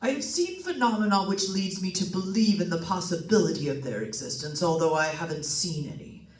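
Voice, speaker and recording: A woman's voice: fairly low pitch, animated, a little fast, darkish tone, natural voice. A solemn psychic doctor played by a woman speaks with formal, portentous gravity in a radio suspense play. The voice sits back from the microphone in a roomy, echoing space. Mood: grave, formal. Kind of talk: old-time radio suspense drama acting. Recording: roomy, distant stage microphone pickup.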